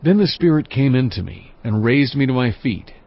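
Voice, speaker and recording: Solemn prophet narrating his visions: earnest, husky, measured, slightly muffled scripture drama recording. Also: medium pitch, big pitch swings.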